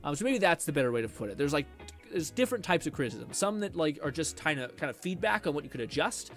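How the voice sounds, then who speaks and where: A man's voice: on the high side, very animated, fast talker, soft background music. A man chatting casually on a live stream, rambling with lots of fillers and reading viewer messages aloud. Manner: rambling with many fillers, reads chat aloud.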